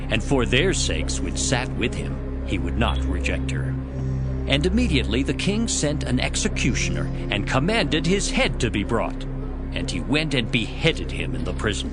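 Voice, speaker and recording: Expressive male scripture narrator over music. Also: medium pitch. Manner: measured, expressive storytelling. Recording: music behind the voice. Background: prominent background music.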